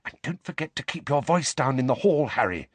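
A man's voice: fairly high pitch, big pitch swings, unhurried, breathy edge, no echo, pitched above the performer's own voice, full character voice. A man voicing an earnest, bossy teenage girl in a storybook reading, principled and insistent. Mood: cautioning. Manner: heated, insistent.

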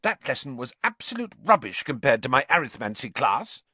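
A man puts on a brainy, bossy schoolgirl from a storybook. The pitch sits well above his own and moves in lively swoops, with a brisk, cool, superior delivery. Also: dry recording. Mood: indignant. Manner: brisk, know-it-all, cool. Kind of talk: audiobook character voice.

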